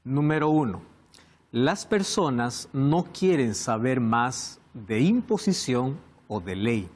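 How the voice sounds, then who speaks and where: A man hosting a Bible study program, speaking in a measured, emphatic way. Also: medium pitch, sing-song, a little mellow. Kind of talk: studio presentation.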